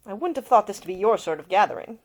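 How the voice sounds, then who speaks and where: A woman's voice: medium pitch, expressive, quick pace, voice pushed up, lightly acted. A woman voicing a jaded young male earl in an audiobook, curt, dry and guarded in his answers.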